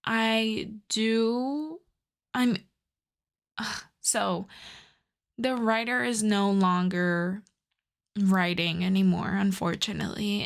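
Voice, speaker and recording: A woman talks casually to her listeners as host of an ASMR channel. Her pitch is mid-range for a woman and her pace is slow.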